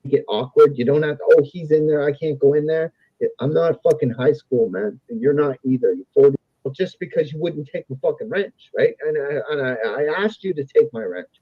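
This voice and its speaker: A man's voice: a little high, brisk, slightly rough, dry recording. A man talking in a rambling, argumentative way, combative, sweary and disjointed. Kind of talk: casual, rambling argumentative talk. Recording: dull, lo-fi close audio.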